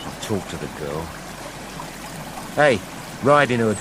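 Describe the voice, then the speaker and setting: Sarcastic storybook wolf, grumbling, argumentative, very animated pitch, radio comedy, outdoor noise behind. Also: male voice, takes it slowly. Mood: mocking, argumentative. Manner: blunt, mocking, colloquial. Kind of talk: comic radio play acting.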